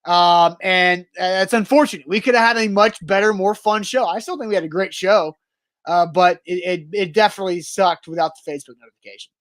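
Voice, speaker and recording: A man's voice: high-pitched, brisk, a bit bright, no echo. A man co-hosting sports talk in a dry, close-miked sound. He is matter-of-fact and teasing, and sets out his points with pedantic care. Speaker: sports radio co-host. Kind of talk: casual sports talk banter.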